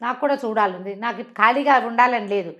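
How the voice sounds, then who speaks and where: A woman's voice: fairly high pitch, a little fast, natural voice. A woman voicing a friendly, chatty ghost who is bored and curious about people, in an oral bedtime folktale. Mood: eager, bargaining.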